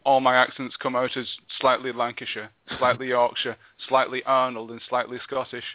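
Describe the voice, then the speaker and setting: A man's voice: mid-range voice, little pitch movement, moderate pace, no room sound. A man co-hosting a gaming show in casual podcast chat, bantering and jokey, over a thin phone-like connection. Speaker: co-host interviewing a guest on a gaming show. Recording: thin phone-like internet radio sound.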